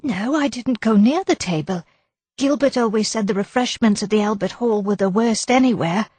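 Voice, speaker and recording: A woman voicing an anxious, defensive middle-aged wife and mother who talks in a flustered, high-strung way. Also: moderate pace, darkish tone, husky, no room sound.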